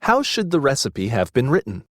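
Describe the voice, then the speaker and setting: Animated male children's storyteller. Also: medium pitch, normal speed, no echo, studio quality. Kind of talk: scripted children's story narration.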